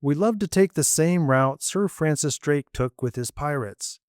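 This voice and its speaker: A man's voice: a little high, expressive, unhurried, clean tone, no echo, clean studio sound. A man voicing a polite adult traveler who loves history, speaking courteously.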